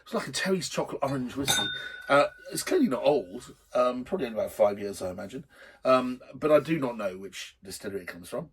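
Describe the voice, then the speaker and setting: A middle-aged man with a slightly husky voice hosting a drinks show in a rambling, jokey, blunt and sweary unscripted chat to camera. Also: medium pitch, animated, quick pace. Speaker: host of a drinks video show.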